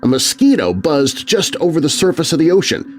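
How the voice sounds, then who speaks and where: A man's voice: fairly high pitch, big pitch swings, fairly quick, a little hiss or hum, voice pushed up. A man voicing a stern, earnest eldest teenage brother who warns ominously in a children's spooky story. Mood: spooky storytelling. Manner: stern, hauntingly warning.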